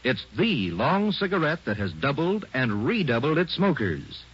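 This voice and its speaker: A man reading a 1940s radio commercial as announcer, emphatic and measured in his salesmanship. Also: on the high side, sing-song, husky. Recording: muffled old radio broadcast.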